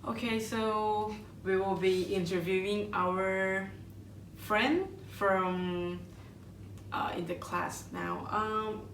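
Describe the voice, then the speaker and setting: A woman asks a classmate gentle, slightly hesitant questions at a middle pitch. She sounds away from the mic in an echoing space. Faint noise sits underneath. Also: fairly even intonation, extremely slow. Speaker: student interviewer. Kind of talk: casual interview questions. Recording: distant, roomy.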